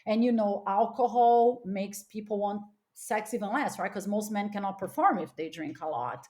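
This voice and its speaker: A woman's voice: medium pitch, normal speed, a little mellow. A middle-aged woman from Los Angeles chatting as a podcast guest, candid, informal and emphatic. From Los Angeles, United States. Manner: emphatic, informal with frequent "like" and "you know". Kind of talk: casual, candid chat.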